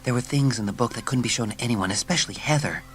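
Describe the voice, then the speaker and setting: A man's voice: medium pitch, quick pace, faint music behind. A man reading scripted narration as the storyteller of a dramatised audiobook.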